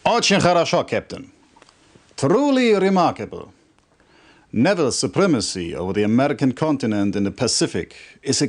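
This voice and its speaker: Game cutscene role: a stern military commander, formal and authoritative, measured delivery with very lively intonation. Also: male voice, medium pitch. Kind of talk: video game cutscene acting, scripted briefing. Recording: compressed old game video audio.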